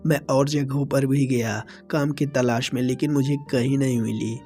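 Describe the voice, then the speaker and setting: A man's voice: medium pitch, fairly even intonation, moderate pace, dark tone, faint music behind, lightly acted. A man voicing a troubled, poor young villager seeking work in a moral-story cartoon, earnest and pleading. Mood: worried, pleading.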